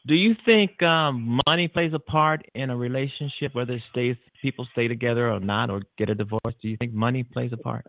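A man's voice: medium pitch, a little fast, gravelly, hardly any pauses, dry recording. A man hosting a talk show, friendly and chatty, laughing easily and repeating his words. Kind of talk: casual interview hosting. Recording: dry, phone-like narrow sound.

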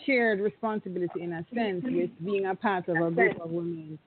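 A woman's voice: lowish voice, steady tone. A woman, a guest on a radio panel, speaking reflectively and restarting her sentences often. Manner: reflective, halting with many restarts. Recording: phone-like narrow sound.

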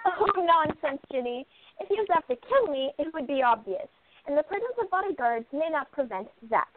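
A headstrong princess from a radio play, acted by a woman, speaking high with confident insistence. She is curious and sure of herself. The sound is narrow and phone-like, with clear noise on it. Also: very animated, brisk. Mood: breezy. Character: curious, headstrong exiled princess playing amateur sleuth. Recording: thin phone-like sound, noisy line.

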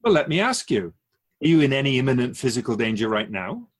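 A man playing an otherworldly, patient keeper of souls in a radio drama, asking measured, probing questions in a husky voice. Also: medium pitch, very animated.

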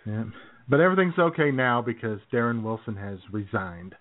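A man's voice: mid-range voice, expressive, normal speed. A man chats casually as a radio co-host, tossing out wry jokes in loose banter. His voice comes through thin and tinny, like a phone call to an internet radio show. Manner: chuckles often, wry.

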